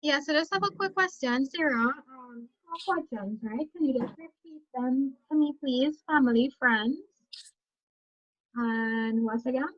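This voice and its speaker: A woman's voice: high voice, measured pace, dry recording. A woman asking a short, polite question on a video call. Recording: dry, dull video call audio.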